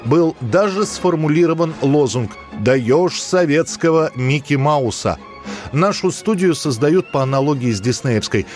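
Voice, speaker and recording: A man's voice: on the high side, lively intonation, unhurried, a little mellow, faint music behind. A man hosting a radio programme, reading scripted documentary narration.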